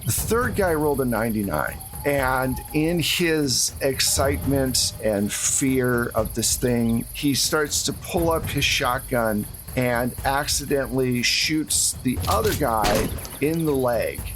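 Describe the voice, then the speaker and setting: A man's voice: mid-range voice, takes it slowly, hardly any pauses, a bit bright, slight background noise. A man narrating a tabletop horror roleplaying game as game master, in a casual, conversational way.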